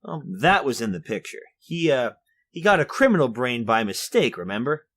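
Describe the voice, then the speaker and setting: A man's voice: medium pitch, very animated, moderate pace, fairly bright tone. An actor playing a fussy, orderly, mild-mannered man with a prim, hesitant, polite manner in a retro radio drama. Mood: musing, bantering. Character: fussy, orderly, mild-mannered male workshop owner recounting a murder.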